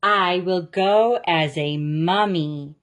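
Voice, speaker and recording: A woman reading a children's picture book aloud, with a deliberate, expressive storytelling delivery. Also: fairly low pitch. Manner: slow, deliberate, expressive delivery of rhyming lines. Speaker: story reader.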